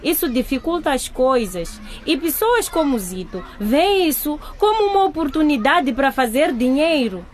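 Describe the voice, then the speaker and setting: A woman's voice: sits high, sing-song, moderate pace, hardly any pauses. A woman playing a middle-aged grieving mother in a radio drama, frank, emphatic and earnest. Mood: earnest, advising. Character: grieving mother, blunt, guilt-ridden, advising a younger woman.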